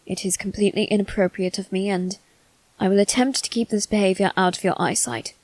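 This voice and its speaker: A woman plays a soft-spoken demon servant, one of three brothers, in an intimate ASMR roleplay. He is courteous and formal, with a gently teasing edge. The sound is very dry, with no room around it. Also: medium pitch, steady tone, fairly quick, dry recording, natural voice, low-quality recording. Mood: apologetic, formal. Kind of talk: ASMR roleplay addressed to the listener.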